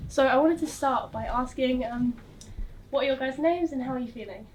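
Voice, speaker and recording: Woman host, high-ish voice, encouraging, recorded from a distance. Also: moderate pace, a little mellow, a little hiss or hum. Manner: friendly, encouraging, with filler "yeah"s. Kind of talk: hosting a panel discussion. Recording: voice from some distance away.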